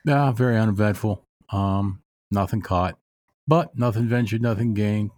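Co-host guy, low voice, deadpan jokes, dry sound. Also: normal speed, no echo. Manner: dry, joking. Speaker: podcast co-host. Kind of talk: casual podcast chat.

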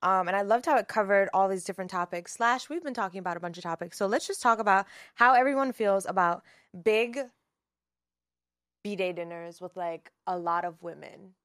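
A woman's voice: medium pitch, normal speed, a bit bright, no room sound, studio quality. A woman in her twenties hosting a podcast, explaining things frankly in casual chat. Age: 20s. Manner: frank, explains the game rules. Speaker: podcast host.

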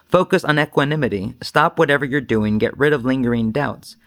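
A man's voice: medium pitch, a little fast, a bit bright. A man speaking as a Zen teacher in an informal dharma talk, with a self-deprecating, rambling manner.

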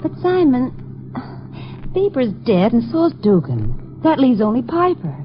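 A woman's voice: fairly high pitch, huge pitch swings, unhurried, music in the background. An actress playing a nervous, evasive young woman in a 1950s radio drama, hesitant and protesting. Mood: questioning. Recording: muffled, hissy old radio recording.

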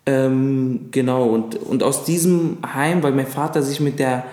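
A man in his thirties from Lebanon, whose first language is Arabic, recalling his childhood as an interview guest with frequent pauses and fillers. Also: mid-range voice, warm tone. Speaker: guest, actor. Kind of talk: casual interview chat. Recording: echoey room.

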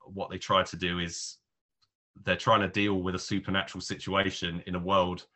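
A man's voice: low-pitched, level tone, normal speed, bright, forceful tone. A man reviewing films on a podcast in casual talk, thinking aloud in rambling, hesitant clauses. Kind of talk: casual spoken film review.

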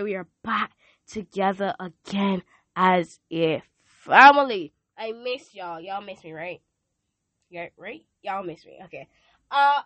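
A woman's voice: mid-range voice, fairly bright tone, no room sound. A teenage girl with a Barbadian accent, sounding nasal from a cold, hosting a casual devotional podcast in a chatty, playful way. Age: teens. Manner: chatty, playful, asks listeners questions. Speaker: podcast host. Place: hot room with a fan.

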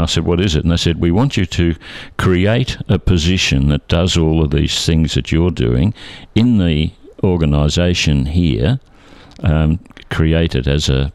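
Very deep-voiced older Australian man. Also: unhurried, no echo. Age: 70s. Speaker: interview guest, retired air traffic controller. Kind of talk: conversational reminiscence.

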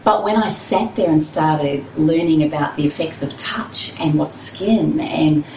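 A woman's voice: low-pitched, measured pace. A woman with a New Zealand accent, a guest telling her story in a chatty, easygoing way. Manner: warm, chatty, laughs easily. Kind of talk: relaxed interview storytelling. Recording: echoey room, distant mic, faint hiss.

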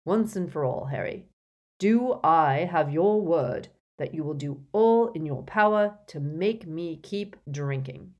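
A woman's voice: sits low, expressive, takes it slowly, a little mellow, dull audio, heavily acted. A woman voicing an elderly male wizard mentor in an audiobook story, authoritative and insistent, with intense emotion. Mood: stern, commanding. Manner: emphatic, insistent, moaning and groaning under torment.

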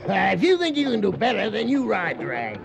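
A gravelly, testy cowhand in a western radio play. His high voice is very creaky and defensive, over a loud, busy background. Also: wildly swooping pitch, a little fast, muffled recording, full character voice. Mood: irritated, defensive. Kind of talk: western radio drama acting. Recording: distant, busy crowd sounds behind.

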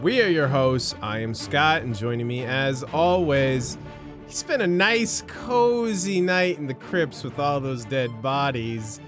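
A man's voice: medium pitch, expressive, takes it slowly, over music. A man co-hosting a podcast in casual banter, teasing and sarcastic, a bit nasal from a cold. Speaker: podcast co-host.

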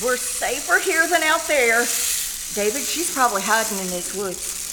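A woman's voice: a little high, animated, moderate pace, noisy background, close to an everyday voice. A woman acting a frightened, pleading wife in a live old-time radio suspense play, anxious and exclaiming. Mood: fearful, insistent. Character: frightened, pleading wife stranded in a car at night.

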